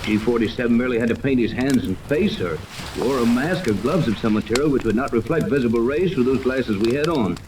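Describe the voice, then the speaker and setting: An actor playing an earnest, authoritative ship captain in a 1930s radio adventure, explaining things with urgency. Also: male voice, mid-range voice, animated, a little fast, lightly acted. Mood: tense, excited. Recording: noisy old 1930s radio, rain-like hiss.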